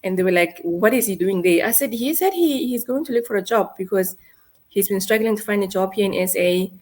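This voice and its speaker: A woman in her thirties with a South African accent, telling a painful personal story in a halting, hesitant way. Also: mid-range voice, a little mellow. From South Africa, North West. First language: Setswana. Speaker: guest. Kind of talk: emotional personal account.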